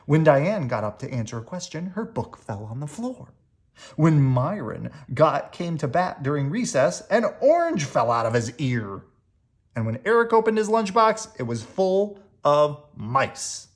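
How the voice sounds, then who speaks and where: A storyteller reading a children's book aloud in an expressive, animated way, leaning on words for dramatic emphasis. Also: medium pitch, a little slow. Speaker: storybook reader.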